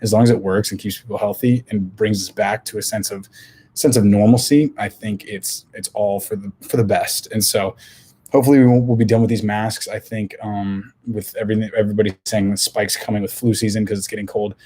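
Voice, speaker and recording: A young man hosting his own podcast alone, talking casually with a hoarse voice, rambling with many restarts and fillers. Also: on the low side, level tone, brisk, dark tone. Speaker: podcast host. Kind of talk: casual solo podcast talk.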